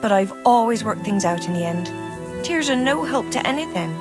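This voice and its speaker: A woman's voice: on the high side, expressive, moderate pace, loud music behind the voice, close to an everyday voice. A woman playing a stoic, world-weary widow in a video game cutscene, plain-spoken and resigned.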